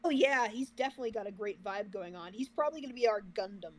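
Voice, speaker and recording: A woman's voice: mid-range voice, fast. A woman co-hosting a reaction livestream, chatting casually with the viewers. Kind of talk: casual chat with stream viewers.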